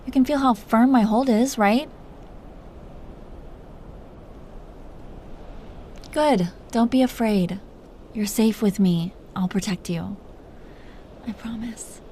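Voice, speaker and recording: A woman's voice: a little high, unhurried, warm tone, close to an everyday voice. Woman playing a tender, teasing dragon queen, ambient noise. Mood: protective, encouraging. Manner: tender, playfully teasing, breathy whispers at times. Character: protective, teasing, doting dragon queen lover. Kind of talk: intimate roleplay audio, soft acting.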